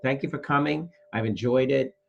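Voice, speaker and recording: A man's voice: medium pitch, normal speed, creaky, dull audio, soft background music. A man hosting a children's library storytime, reading a picture book expressively and speaking directly to young listeners. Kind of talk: animated children's picture-book read-aloud.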